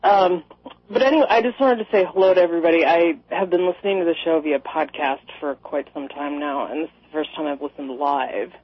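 A woman's voice: low-pitched, a little fast, slightly rough. A woman from Minnesota calling in to a radio show, rambling and laughing with a sarcastic edge. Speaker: caller. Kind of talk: call-in radio chat. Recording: dry, muffled phone-in audio.

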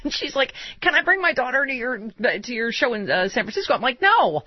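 A woman's voice: a little high, sing-song, fairly quick, no room sound. A woman of fifty hosting a radio talk show, chatting with callers and cohosts in a wry, self-mocking way. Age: 50s. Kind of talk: casual radio chat with callers. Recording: dull radio broadcast sound.

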